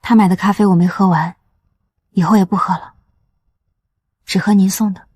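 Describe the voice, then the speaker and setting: A woman playing a smitten, easily flustered young law-firm worker, earnest and softly probing in acted drama dialogue and inner monologue. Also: medium pitch, steady tone, normal speed, breathy edge, dry recording, clean studio sound, close to an everyday voice. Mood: tender, smitten.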